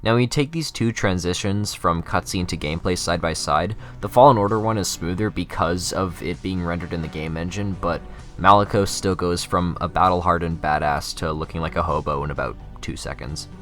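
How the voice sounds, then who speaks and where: A man's voice: low-pitched, a little fast, fairly bright tone, faint music behind. A young adult man reading scripted narration for a video essay.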